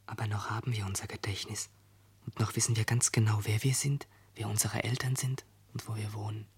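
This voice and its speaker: An actor playing a sober, disillusioned youth in a radio play, speaking in a guarded, matter-of-fact whisper. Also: male voice, lowish voice, flat delivery, a little fast, slightly rough. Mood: sober, resigned. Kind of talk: 1970s German radio play acting.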